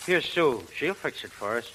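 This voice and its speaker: An actor playing an earnest, pleading young prizefighter in informal speech, in a 1930s radio drama. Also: male voice, mid-range voice, expressive, normal speed, fairly bright tone, close to an everyday voice. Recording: hissy, noisy 1930s radio recording.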